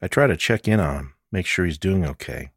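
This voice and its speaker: A man's voice: low voice, expressive, fairly quick, breathy edge, no echo, studio quality, full character voice. Male narrator as tough, wry woman detective in a mystery audiobook, practical, dryly funny, caring underneath. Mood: reflective. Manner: matter-of-fact, dryly humorous.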